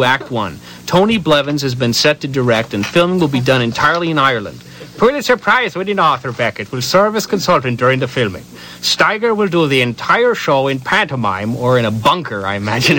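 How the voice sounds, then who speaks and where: A man's voice: fairly high pitch, bright, forceful tone, slight background noise, poor audio. A man reading absurd news items in a mock-serious announcer manner. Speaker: mock newsreader.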